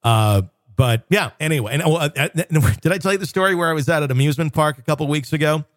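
A man's voice: a little high, fast talker, no room sound, clean studio sound. A middle-aged man hosting a podcast, telling stories in a loose, chatty way and laughing often. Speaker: podcast host. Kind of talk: casual chat.

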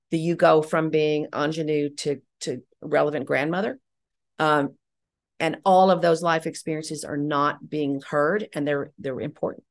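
A middle-aged woman speaking as an interview guest, candid and emphatic in a reflective conversational way. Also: low voice. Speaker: guest, audio drama writer and director.

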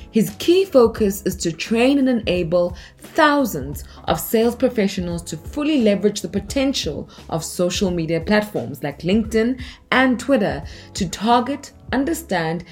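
A woman hosting a podcast, reading a measured, upbeat scripted introduction and then asking her guest questions. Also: medium pitch, darkish tone. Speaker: podcast host.

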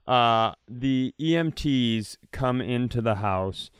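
A man with a smooth, resonant voice tells a comic story on a podcast. He gets animated and leaps into high-pitched impressions of the people he quotes. The sound is close and dry. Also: takes it slowly, dry recording. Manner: animated, mimics voices in quotes, many restarts. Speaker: podcast co-host. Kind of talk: comedic storytelling.

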